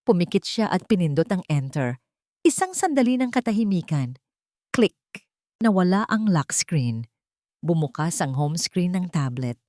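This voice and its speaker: Expressive low-voiced woman narrating a drama. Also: a little slow, dry recording, studio quality. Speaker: narrator of a Tagalog audio drama. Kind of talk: dramatic scripted story narration.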